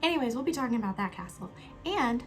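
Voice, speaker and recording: A young woman hosting a casual vlog, chatting in an upbeat way while doing her makeup, sometimes dropping to a soft whisper. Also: on the high side, expressive, fast talker, faint music behind. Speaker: YouTube host.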